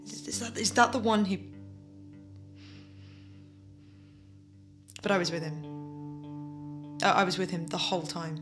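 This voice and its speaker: An actress playing a wistful, reflective daughter in an audio drama monologue, telling her memories haltingly. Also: on the low side, music in the background, lightly acted. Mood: grieving, haunted. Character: wistful daughter recalling her adored theatre-critic father.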